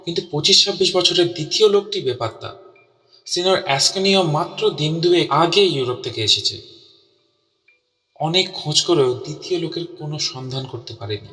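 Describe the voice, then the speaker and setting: A man playing a detective's earnest companion, narrating a mystery audio story in a measured, dramatic way. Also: a little high, pushed and bright. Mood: suspenseful narration. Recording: distant voice in long hall reverb.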